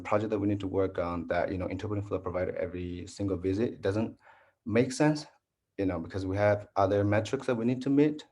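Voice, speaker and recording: Halting low-voiced man from Burma. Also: a little mellow. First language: Po Karen. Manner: halting, many restarts and fillers. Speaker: dental health navigator. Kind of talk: panel discussion.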